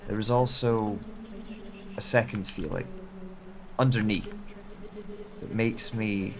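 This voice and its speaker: Brooding wanderer played by a man, audio drama narrator. Slow, measured, reflective, over background crowd chatter. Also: fairly low pitch, fairly even intonation. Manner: measured, reflective, with deliberate pauses. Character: brooding amnesiac wanderer narrating his journey. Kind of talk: scripted first-person audio drama narration. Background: chatter of other voices.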